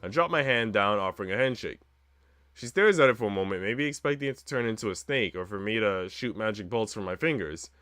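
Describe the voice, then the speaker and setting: Expressive male streamer reading a visual novel. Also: fairly low pitch, fairly quick, dry recording. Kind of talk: reading game narration aloud with casual commentary.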